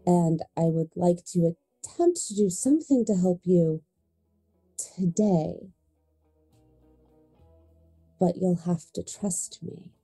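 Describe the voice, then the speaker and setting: A woman playing a tabletop roleplaying game, describing her character's moves off the cuff, thinking aloud haltingly and repeating points for emphasis. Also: lowish voice, lively intonation, dark tone, soft background music.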